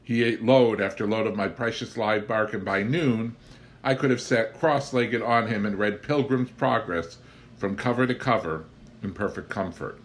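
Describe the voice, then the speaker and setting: A man reading a humorous memoir aloud as an expressive storyteller, with wry, theatrical, deliberate phrasing. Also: on the low side. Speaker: audiobook reader.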